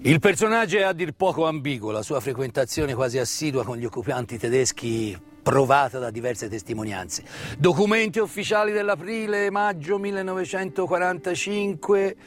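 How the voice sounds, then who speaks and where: A man tells a story of wartime history as a stage actor, with dramatic emphasis and deliberate pauses. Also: a little high, animated. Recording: live music mixed under the voice. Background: live musical accompaniment.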